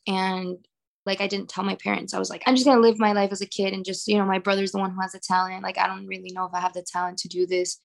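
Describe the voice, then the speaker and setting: A woman's voice: mid-range voice, flat delivery, fast talker, vocal fry. Young woman w/ venezuelan accent, spanish first language, chatty singer, says "like" a lot. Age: young adult. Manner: chatty, filler-heavy with "like". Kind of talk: casual interview.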